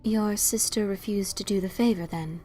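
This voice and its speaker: A woman playing a calm, wise and mysterious female pony, speaking formally and with gentle, measured probing in an audio drama. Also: medium pitch, faint music behind, lightly acted. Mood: curious. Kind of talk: audio drama dialogue of fan fiction.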